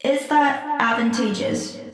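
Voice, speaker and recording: An actress playing a literal-minded, inquisitive female character who asks flat, puzzled questions. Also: high voice, takes it slowly, crowd noise. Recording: very echoey and distant.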